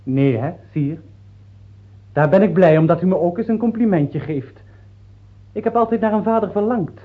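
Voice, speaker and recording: An actor playing a childish, sly crown prince of twenty-eight in a radio play, prim and naive in manner. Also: male voice, sits high, normal speed, natural voice. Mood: petulant reproach. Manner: prim, naive, quoting his mother. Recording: thin, narrow-band old radio recording.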